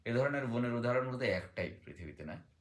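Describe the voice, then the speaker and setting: A man telling folk tales in a conversational way, pausing often with fillers and small check-in questions. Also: mid-range voice, expressive.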